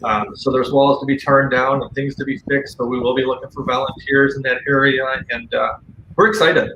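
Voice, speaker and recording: A man's voice: medium pitch, fairly even intonation, a little fast, bright, forceful tone, muffled recording. A man talking casually and earnestly as a guest on a paranormal radio show, with firm opinions. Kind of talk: casual conversational talk. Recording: roomy echo, faint background noise.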